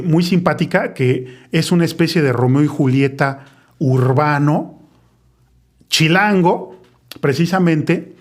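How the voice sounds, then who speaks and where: Mexico city guy, 40s, hosting a chat, slightly high voice, rambles and keeps checking in. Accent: Mexico City Spanish. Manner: chatty, digressive, tags phrases with "¿no?". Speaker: podcast host. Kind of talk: casual chat.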